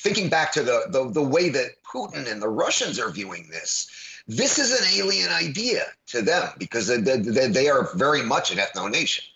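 A man hosting a talk speaks with a bright, forceful tone and stresses his points. He often repeats words as he restarts. The audio is dull and lo-fi. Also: mid-range voice, very animated, normal speed. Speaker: host. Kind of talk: conversational discussion.

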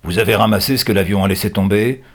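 Man playing a husky, menacing kidnapper. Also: lowish voice, fast, natural voice. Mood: interrogating.

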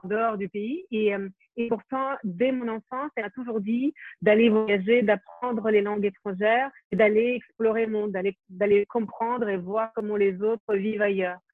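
A woman's voice: medium pitch, fairly even intonation, moderate pace, dry recording. A woman in her forties from Ukraine, a film director talking thoughtfully in a casual interview, with many fillers and restarts. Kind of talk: casual interview over a video call. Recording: tinny phone-like call audio, dry.

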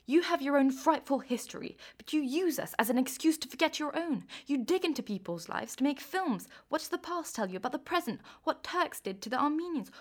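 A woman playing a stern, suspicious Turkish official in a radio play, interrogating and lecturing with sarcasm. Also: sits high.

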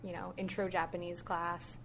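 A woman's voice: fairly low pitch, flat delivery, moderate pace, a little hiss or hum. A young adult woman from Malaysia and the US, a guest chatting casually on a podcast with fillers and light laughs. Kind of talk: casual chat.

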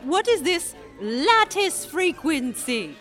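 A woman's voice: high-pitched, big pitch swings, slow pace, fairly bright tone, soft background music, full character voice. An actress playing a haughty, imperious lady magister in an audio drama, speaking in a pompous, declamatory way. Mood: indignant. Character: haughty, imperious female magic magister, indignant grande dame.

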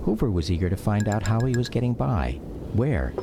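A man's voice: on the low side, sing-song, a little fast, gravelly, background noise. An actor with an American accent playing a grown son narrating memories of his childhood in a radio drama. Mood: reflective. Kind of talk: scripted radio drama narration, first-person memoir. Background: music under the voice.

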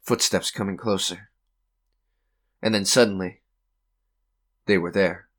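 An actor playing a tormented, unhinged man recounting his own doom with halting intensity in a radio suspense drama. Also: low voice, steady tone, fairly bright tone. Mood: haunted, tense. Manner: intense, halting, theatrical, repeating phrases.

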